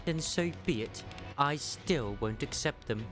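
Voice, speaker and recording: Woman acting a low-voiced, stoic female naval commander. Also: lively intonation, measured pace, over music. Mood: stern, earnest. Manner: formal, commanding, earnest. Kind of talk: anime game fandub acting.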